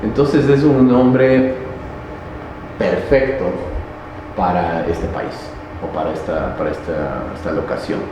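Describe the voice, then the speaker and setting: A man explaining local history in an interview, pausing often with fillers and restarts. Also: low voice, dull audio. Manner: halting, many fillers and restarts. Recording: very echoey, noisy, reverberant space.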